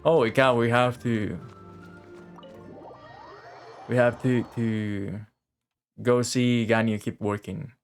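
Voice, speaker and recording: A man giving casual live commentary as a game streamer, halting and often repeating his phrases. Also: mid-range voice, flat delivery. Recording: game music behind the voice.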